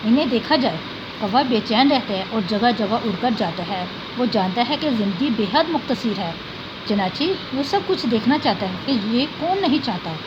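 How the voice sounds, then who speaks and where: Woman teacher reading and glossing words. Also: on the high side. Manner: reads a sentence, then glosses hard words with "yani". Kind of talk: reading a text aloud and explaining words for students. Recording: dull, lo-fi recording with clear background noise.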